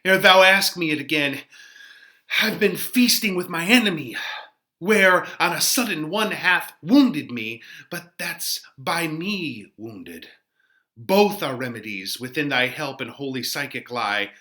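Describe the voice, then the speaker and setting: A man performs an eager, lovestruck youth in a dramatic Shakespeare reading. He declaims rhymed verse effusively, pitched above ordinary talk. The delivery swoops widely and rings out brightly. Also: expressive, a little slow. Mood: elated.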